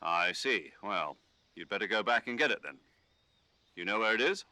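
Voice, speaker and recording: A calm commanding officer. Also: male voice, lowish voice, no room sound. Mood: steady. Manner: measured, instructive briefing tone. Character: calm British male commanding officer on the radio. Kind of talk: game dialogue.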